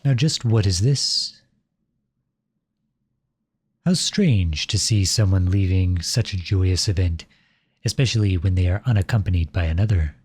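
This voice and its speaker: A man playing a flirtatious, silver-tongued royal at a masquerade ball in an ASMR roleplay, speaking in courtly, formal, flattering phrases with a husky voice. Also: lowish voice, no echo. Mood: intrigued, flirtatious. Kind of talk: ASMR roleplay, scripted romantic monologue addressed to listener.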